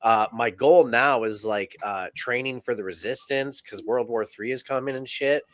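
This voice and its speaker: A man co-hosting a comedy podcast, telling deadpan jokes with many fillers. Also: mid-range voice, normal speed, no room sound. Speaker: podcast co-host. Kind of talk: casual comedy podcast chat.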